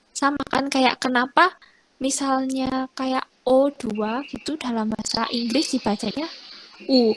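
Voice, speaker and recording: A woman's voice: on the high side, slight background noise, poor audio. A woman, teaching casually and reassuring students in a rambling way. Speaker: French teacher.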